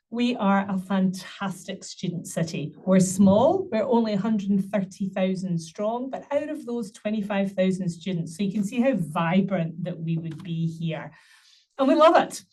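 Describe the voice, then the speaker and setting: A woman's voice: mid-range voice, lively intonation, moderate pace, mellow. Woman, middle-aged, webinar presenter, upbeat and promotional, a few ums, slightly distant mic. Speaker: university recruitment presenter.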